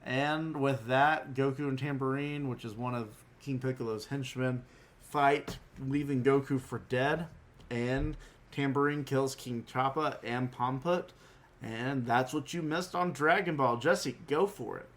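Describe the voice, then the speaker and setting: A man with a slightly hoarse voice, hosting a podcast and chatting casually. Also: medium pitch, a little slow, fairly bright tone. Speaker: podcast host. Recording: noticeable background noise.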